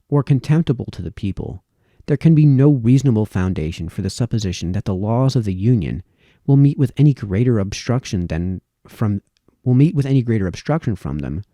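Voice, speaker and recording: Low, very mellow man reading aloud. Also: no room sound, studio quality. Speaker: reader, narrator. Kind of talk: reading aloud formal historical essays.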